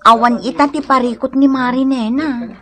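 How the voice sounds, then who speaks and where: A woman's voice: sits high, fairly even intonation, moderate pace, bright, forceful tone. A woman playing a naive, wistful young daughter in a radio drama, with a northern Philippine accent. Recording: music clearly behind the voice.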